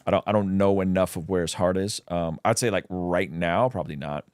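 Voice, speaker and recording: Low-voiced man answering a live chat. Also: brisk, clear, resonant tone, dry recording, clean studio sound. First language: Russian. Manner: reads chat questions aloud and answers, with fillers. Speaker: livestream host answering chat. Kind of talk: casual livestream chat.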